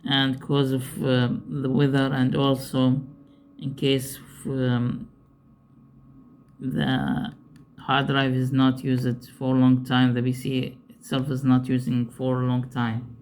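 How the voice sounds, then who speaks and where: A man who is not a native English speaker gives an unscripted hands-on repair tutorial, haltingly, repeating himself as he searches for words. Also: mid-range voice, completely flat delivery, a little mellow, slight background noise.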